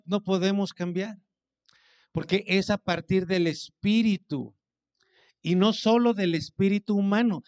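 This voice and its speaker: A man preaching a sermon as pastor, speaking conversationally, asking his congregation questions and acting out what people say to him. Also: sits high.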